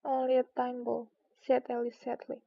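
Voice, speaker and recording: A woman's voice: on the high side, monotone, normal speed, creaky, muffled recording. A woman voicing a polite, earnest, curious young girl from a storybook, humble and eager to please.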